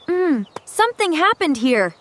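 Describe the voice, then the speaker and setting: Casting: woman for little gentle animal pal, children's cartoon, high voice, animated melody, curious and simply spoken. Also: measured pace, soft background music, heavily acted. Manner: simple, expressive English for young learners. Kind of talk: children's animated story dialogue.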